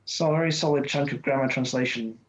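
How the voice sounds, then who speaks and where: A man from Wales, a guest scholar talking in a relaxed interview, rambling with lots of restarts and fillers. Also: mid-range voice, fairly quick, muffled recording, a little hiss or hum. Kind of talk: conversational interview.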